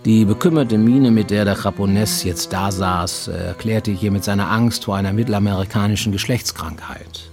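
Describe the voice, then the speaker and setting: An actor playing a paranoid, self-justifying fugitive who works as an illicit medic, narrating formally and deliberately in a radio play monologue. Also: male voice, on the low side, over music, natural voice. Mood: dry recounting. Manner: formal, deliberate, insistently explaining himself to a listener.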